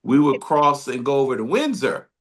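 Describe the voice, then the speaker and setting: A man hosts a radio interview, talking in an enthusiastic, meandering way and laughing often. His voice sits at an ordinary middle pitch, and he chats casually with his guest. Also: big pitch swings, moderate pace, slight background noise. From Detroit, Michigan.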